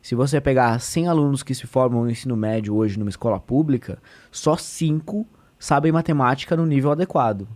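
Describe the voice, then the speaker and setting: A man's voice: medium pitch, a little fast, few pauses, a little mellow. Earnest São man explaining politics. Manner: earnest, explanatory, many fillers and restarts. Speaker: state deputy, podcast guest. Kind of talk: conversational explanation.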